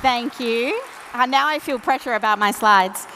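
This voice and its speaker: Relaxed Australian woman in a reverberant hall. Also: fairly high pitch, normal speed, some chatter behind, slight background noise. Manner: relaxed, self-deprecating, chatty with fillers. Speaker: conference speaker. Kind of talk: tech conference talk. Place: large conference hall.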